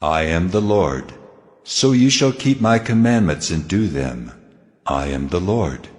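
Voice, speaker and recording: An actor playing God as a solemn, commanding lawgiver in a dramatized scripture reading. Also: male voice, low-pitched, animated, unhurried. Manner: solemn, declarative. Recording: long cavernous reverb, music underneath. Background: music.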